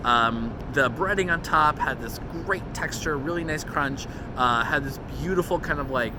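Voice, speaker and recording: A man's voice: on the high side, normal speed. A man giving a casual, opinionated food critique as a guest reviewer, picking out his descriptive words as he goes. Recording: clear outdoor city traffic noise. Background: traffic and street ambience.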